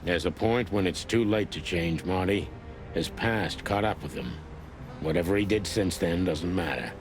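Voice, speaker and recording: A low-voiced, hardboiled old rooster cop for a noir video game. He is weary, dry and brooding. Loud music plays behind him. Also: normal speed. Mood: resigned, brooding. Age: older. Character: world-weary old male rooster police detective, cynical noir. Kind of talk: film noir game voice acting.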